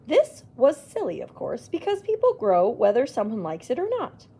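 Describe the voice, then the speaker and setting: A woman reading a picture book aloud to children, expressive, with little exclaimed asides. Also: a little high, moderate pace, husky. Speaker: children's library storyteller.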